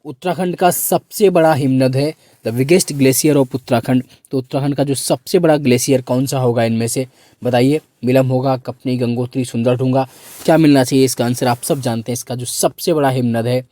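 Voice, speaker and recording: A man with a hoarse, sore-throated voice teaching a quiz lesson for exam students, repeating questions and prompting listeners to answer. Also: mid-range voice, steady tone, normal speed, mellow, no room sound.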